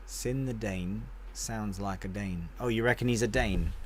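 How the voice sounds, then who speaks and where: A man's voice: lowish voice, unhurried, a little hiss or hum. A man streaming a game live, chatting casually and rambling as he answers viewers with friendly banter.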